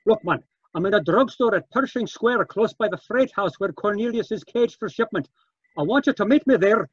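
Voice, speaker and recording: An actor playing a stubborn, indignant Scottish farm keeper in an old-time radio drama, speaking urgently and emphatically. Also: male voice, high-pitched, lively intonation, normal speed, husky, no echo, muffled recording. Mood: urgent, determined.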